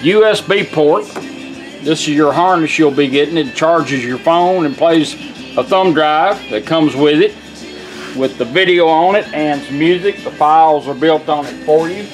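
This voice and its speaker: A man's voice: fairly high pitch, unhurried, faint music behind, rough recording. A man giving an informal, plain-spoken product demonstration, folksy in manner. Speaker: presenter, car radio restorer. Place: indoor workshop bench.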